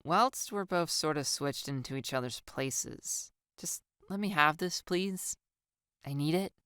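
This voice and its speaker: A woman's voice: deep voice, a little slow, a bit bright, clean tone, no echo, clean studio sound. A woman voicing a playful, pleading girl in an audiobook, with a theatrical, teasing, slangy way of talking. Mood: wistful, pleading. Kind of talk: audiobook character dialogue.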